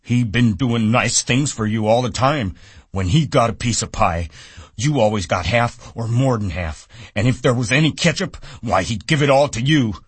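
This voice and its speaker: A man's voice: mid-range voice, animated, normal speed, husky, no room sound, voice pushed up. A man voicing a disapproving little old woman who nags and scolds sharply. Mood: scolding.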